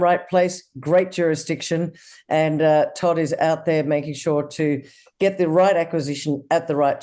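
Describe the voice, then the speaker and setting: Husky-voiced upbeat interview host. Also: male voice, fairly high pitch, warm tone. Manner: upbeat, promotional sign-off. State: losing voice. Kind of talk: business interview hosting.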